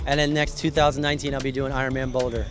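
A young man from Hawaii, a triathlon association president, explaining the sport in a relaxed, friendly way at an outdoor race. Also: medium pitch, racing. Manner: relaxed, friendly, explains in loose run-on sentences. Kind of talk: outdoor event interview. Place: outdoors at a race venue under tents. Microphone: handheld mic. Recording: background music at outdoor event.

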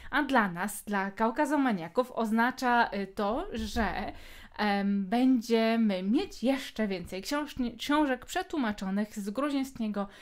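A Polish woman hosting a book podcast, talking casually with many hesitation fillers. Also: a little high. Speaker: podcast host.

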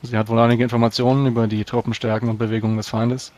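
A man speaking formally and deliberately as a proud, pious knight in a tabletop role-playing game. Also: mid-range voice, little pitch movement, darkish tone. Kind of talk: in-character role-play narration. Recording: clear background noise.

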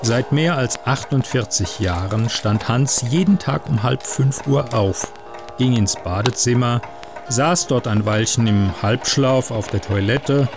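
Man, scripted storytelling, measured literary read-aloud, higher-pitched male voice, clear music underneath. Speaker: narrator of a short-story podcast.

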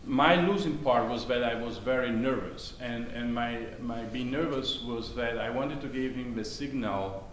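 A middle-aged Italian man with an Italian accent, talking thoughtfully on a theater stage in a halting way with many restarts. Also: mid-range voice. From Italy. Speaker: panelist, conflict negotiator. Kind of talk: onstage discussion. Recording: echoey stage with faint noise.

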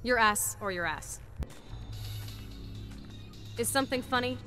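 An actress playing a cocky, sarcastic young soldier in a video game, full of snarky taunts. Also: female voice, fairly high pitch, animated, a little slow, music in the background. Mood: cocky, taunting. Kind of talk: video game fight intro dialogue.

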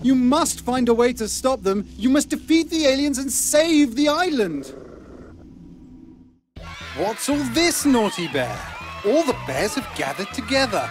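A man's voice: very high-pitched, big pitch swings, measured pace, full character voice. A man with a British accent playing a fussy, kindly children's storybook narrator in a game, theatrical and quaintly polite. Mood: worried, then excited, proud. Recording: music under the voice.

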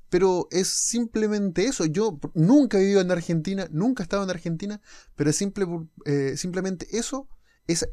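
A man's voice: fairly high pitch, a little mellow, no echo, clean studio sound. A young man from Chile with a lightly Chilean accent, talking casually to his viewers over gameplay as a gaming YouTuber. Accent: Chilean Spanish, softened toward neutral. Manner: casual, direct, explaining himself to viewers. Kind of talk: unscripted talk to camera over gameplay.

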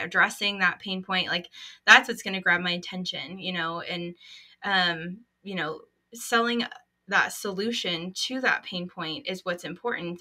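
A young woman co-hosts a podcast from her room at home, heard over a video call. Her voice is lowish but bright and forceful, and she chats casually, full of fillers and restarting mid-thought. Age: young adult. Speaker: podcast co-host. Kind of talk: casual chat.

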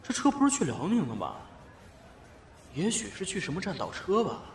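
A man's voice: high voice, wildly swooping pitch, moderate pace, slight background noise, heavily acted. An actor playing a polite, jumpy young man in a horror audio drama. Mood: puzzled, polite.

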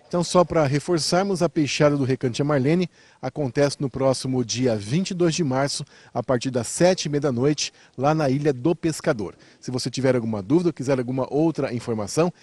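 A middle-aged man reporting outdoors, asking brisk interview questions into a handheld mic. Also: medium pitch, darkish tone, no room sound. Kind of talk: field interview. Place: outdoors by a brick wall.

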